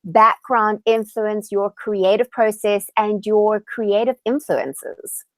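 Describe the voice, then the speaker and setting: A woman's voice: medium pitch, fairly even intonation, takes it slowly, no room sound. A woman hosting a casual interview, putting questions to her guest in a conversational way. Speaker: interviewer and personal stylist host.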